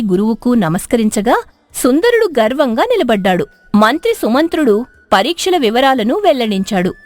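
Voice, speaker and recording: A female storyteller with a high, very lively voice reads scripted narration. The sound is dry and close. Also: dry recording.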